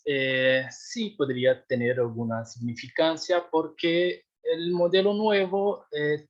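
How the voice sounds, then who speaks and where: A man's voice: a little high, muffled recording. A young man, a doctoral researcher explaining his work in a seminar, hesitant with many fillers and restarts, often checking if he is understood. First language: Portuguese. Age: young adult.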